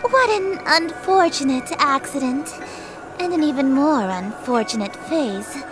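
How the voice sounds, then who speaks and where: Woman playing a slow, high-voiced haughty eldritch monarch. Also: animated, music in the background, poor audio. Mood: coldly suspicious. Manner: imperious, formal, theatrical, deliberate. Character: haughty, menacing eldritch queen who calls herself king. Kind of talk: visual novel game voice acting.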